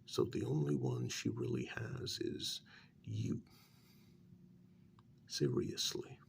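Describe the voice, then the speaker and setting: A man acting as a stern interrogator in a vampire ASMR role-play. His soft voice is low and heavily fried, slipping toward a whisper as he probes with dry sarcasm. Faint noise sits behind him. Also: huge pitch swings, creaky, exaggerated voice. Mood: blunt, measured. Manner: halting, probing, sarcastic, drifting into whispers. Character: stern, sardonic male interrogator of an imprisoned vampire.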